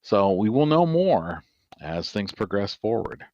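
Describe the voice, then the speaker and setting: A man explaining technical matters informally as a podcast panelist, halting and matter-of-fact, on a dull recording. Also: fairly low pitch, sing-song, a little mellow. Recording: dull, band-limited audio.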